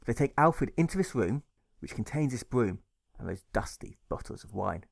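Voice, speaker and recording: A man reading a rhyming audiobook story aloud in measured, rhythmic phrases. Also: medium pitch, lively intonation, creaky, dry recording, studio quality. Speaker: author reading his own audiobook. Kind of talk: scripted storybook narration in rhyme.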